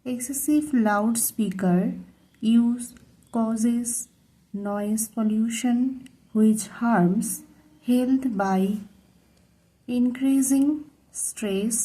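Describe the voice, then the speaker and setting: A woman with a fairly high, soft-toned voice reads like a tutor giving dictation. Her pace is extremely slow, and she sets out each phrase deliberately, word by word. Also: steady tone, rough recording. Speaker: English tutor. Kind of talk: slow dictation-style bilingual reading of a model letter.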